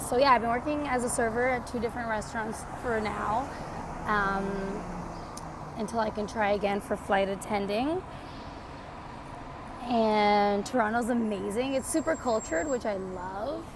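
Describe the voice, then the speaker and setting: Young Canadian-raised woman, higher voice, rambling vlog chat, phone mic with street noise behind. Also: measured pace. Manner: chatty, rambling, filler-heavy. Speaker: vlogger. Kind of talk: casual life-update vlog. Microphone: phone camera.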